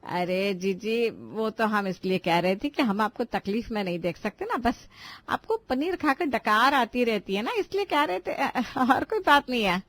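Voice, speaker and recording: A woman voicing a bossy, fussy middle-aged mother-in-law in a cartoon family drama, nagging and quick to take offence. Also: fairly high pitch, very animated, fairly bright tone, no echo. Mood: placating. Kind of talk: animated family drama voice acting in Hindi.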